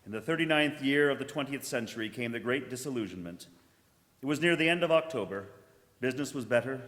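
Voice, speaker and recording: A man playing a solemn, portentous narrator in a live 1930s-style radio drama, with measured theatrical delivery. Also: mid-range voice, a bit bright, lightly acted. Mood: matter-of-fact. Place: church, live performance. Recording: live recording in a reverberant hall.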